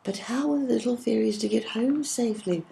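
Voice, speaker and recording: A woman's voice: fairly high pitch, fairly quick, gravelly, warm tone, pitched above the performer's own voice, poor audio. Woman reading as an earnest, curious little girl. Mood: wondering. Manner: questioning, earnest. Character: curious, firm young girl questioning a storyteller.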